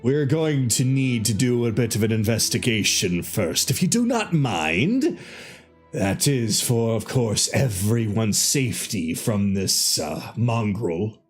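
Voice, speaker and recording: A man's voice: on the low side, expressive, faint music behind. A man voicing a smug, bigoted gate guard in a fantasy game, drawling his mockery with relish. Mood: gloating. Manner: smug, drawling, cackling, drawn-out words. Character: gloating, bigoted male gate guard, mocking captors and prisoners.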